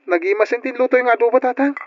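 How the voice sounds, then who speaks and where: A woman playing a cheerful, caring young adult daughter in an old radio drama, speaking with lively affection. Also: fairly high pitch, rapid-fire, background noise, lightly acted. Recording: dull, lo-fi old radio recording.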